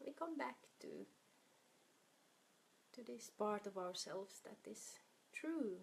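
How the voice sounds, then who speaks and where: A woman leading a guided meditation in a soft, soothing, unhurried manner, her breathy voice often trailing off toward a whisper. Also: medium pitch, lively intonation, a little hiss or hum.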